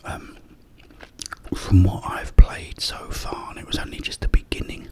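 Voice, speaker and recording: A man whispering up close in an unhurried ASMR ramble, with breathy delivery and hesitant pauses. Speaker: ASMR host. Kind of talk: ASMR whispered ramble about a video game. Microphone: close-up microphone.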